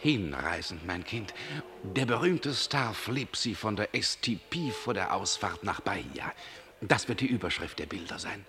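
An actor playing a suave lover who soothes his darling in smooth, theatrical phrases for a German radio play. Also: lowish voice, lively intonation. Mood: seductive, grand. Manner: smooth-talking, theatrical, drawn-out endearments.

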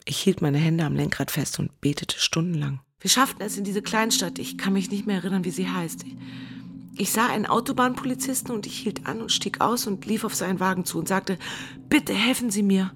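A woman's voice: mid-range voice, a little fast, natural voice. A woman acting in a radio drama as a devout bus driver fleeing disaster, telling it earnestly and emotionally in the first person. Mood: urgent, strained.

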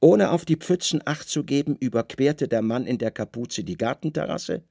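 A man narrating a radio drama in an expressive, theatrical literary storytelling style. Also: mid-range voice, moderate pace, gravelly, husky, no echo, studio quality.

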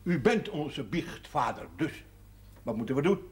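A man's voice: fairly high pitch, expressive, unhurried, slightly rough, exaggerated voice. An actor playing a quirky, proud old Dutch villager in his seventies, folksy and droll, in a television drama. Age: 70s. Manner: folksy, droll, self-assured. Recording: faint background noise, television soundtrack.

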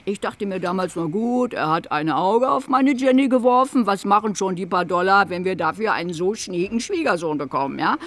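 An actress playing a domineering, sharp-tongued middle-aged mother in a radio drama, scolding bluntly and coarsely. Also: mid-range voice, a little hiss or hum. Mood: bitter, recounting.

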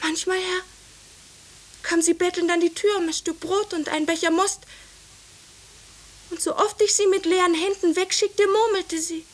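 An actress playing an excitable, self-important teenage servant girl in a 1950s German radio play about a witch hunt, speaking breathlessly. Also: very high voice, moderate pace, long pauses. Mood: agitated, haunted.

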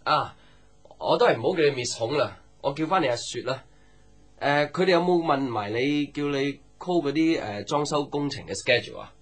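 An actor playing an upbeat, approving, informal young boss in a Cantonese radio drama. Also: male voice, a little high, animated, normal speed. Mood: breezy.

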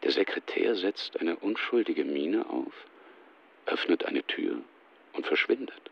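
Man, gravelly deep voice, scripted present-tense radio play narration, clearly noisy backdrop. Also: expressive, measured pace, lo-fi sound.

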